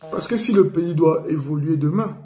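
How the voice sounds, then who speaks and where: A man's voice: fairly high pitch, moderate pace, reverberant. A man from the Republic of the Congo giving an indignant political commentary on community radio. He repeats himself for emphasis and speaks with feeling. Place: living in France.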